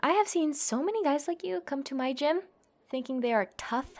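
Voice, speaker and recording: A woman's voice: high voice, lively intonation, a little mellow. A woman playing a cocky young female MMA fighter in an ASMR roleplay, taunting and menacing as she protects someone. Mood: cold contempt. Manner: taunting, swaggering, foul-mouthed, theatrical pauses and scoffing.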